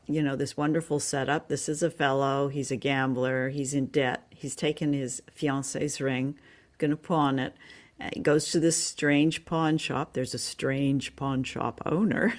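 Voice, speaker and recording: Older woman co-hosting, medium-low speaking voice. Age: 60s or older. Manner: enthusiastic. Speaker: podcast co-host. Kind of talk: conversational review of old radio drama.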